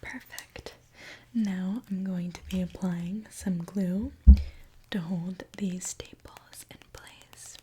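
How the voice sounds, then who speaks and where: A woman softly whispering an ASMR roleplay as a mechanic, gently explaining each step to the listener. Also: fairly low pitch, fairly even intonation, slight background noise. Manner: soft, gentle whispering, unhurried step-by-step narration.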